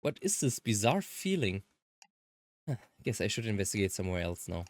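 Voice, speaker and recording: A woman's voice: a bass voice, sing-song, brisk, no room sound, pitched below the performer's own voice. A woman voicing an earnest, uneasy protagonist with memory loss in a visual novel, reading in a hesitant, questioning way. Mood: uneasy, wondering.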